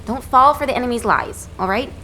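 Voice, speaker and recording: A woman's voice: fairly high pitch, a little fast, pushed and bright, a little hiss or hum. A woman playing a blunt, level-headed fighter in a sci-fi game, talking informally. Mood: placating.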